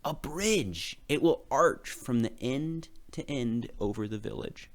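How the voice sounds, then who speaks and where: A man's voice: mid-range voice, lively intonation, slow, pitched above the performer's own voice. A man voicing an earnest, dreamy builder in a storybook read-aloud, speaking with wonder. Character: Yoshi, earnest, dreamy male boat and kite builder.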